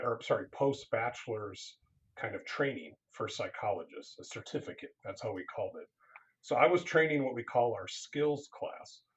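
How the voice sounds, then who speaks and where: A man's voice: mid-range voice, lo-fi sound. A man, a counseling professor, speaking earnestly in a casual interview, often restarting his sentences.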